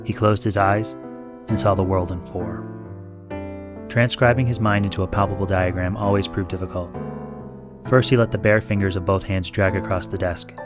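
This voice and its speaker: Low-voiced male audiobook narrator over music. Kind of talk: scripted fiction narration.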